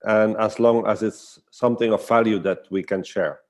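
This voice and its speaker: Very deep-voiced man, thoughtful and hesitant. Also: fairly even intonation, moderate pace, darkish tone. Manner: hesitant, searching for words. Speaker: guest artist and storyteller. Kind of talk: casual reflective online conversation.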